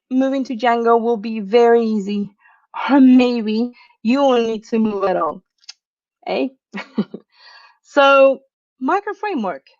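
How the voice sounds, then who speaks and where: Venezuelan-born woman, conference talk; slightly high voice, dry lo-fi call audio, easygoing. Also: dry recording. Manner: laughs often, relaxed and chatty. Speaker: conference speaker. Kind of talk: tech talk on a video call.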